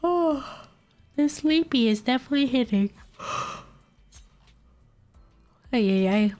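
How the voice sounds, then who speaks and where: A woman's voice: sits high, animated, takes it slowly, a little mellow, soft background music. Chatty streamer woman with a cold. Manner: rambling, laughs often, swears casually. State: recovering from a cold, slightly nasal. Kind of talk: casual late-night stream chat.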